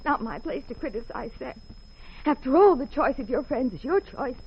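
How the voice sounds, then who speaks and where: An American actress playing a prim, excitable secretary smitten with her detective boss, fussy and emotional, in 1940s radio drama style. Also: sits high, moderate pace, husky, exaggerated voice. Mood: scolding, wound up. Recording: muffled 1940s radio recording.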